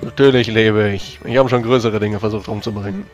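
A man's voice: on the low side, steady tone, a little fast, muffled recording, soft background music, natural voice. A man playing a proud, pious knight of a holy order in a tabletop game, speaking formally and with dry pomp. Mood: indignant.

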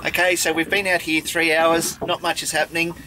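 Aussie fishing vlog host, middle-aged, high-pitched, easygoing, noisy outdoor camera audio. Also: male voice, flat delivery, brisk. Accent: Australian. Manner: chatty, self-deprecating, laughs often. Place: small open boat on a river.

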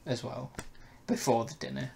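A man's voice: medium pitch, flat delivery, moderate pace, vocal fry, a little mellow. A man in his twenties hosting a casual review chat, sitting close to the mic in a small bedroom. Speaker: host of review show. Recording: noisy room ambience.

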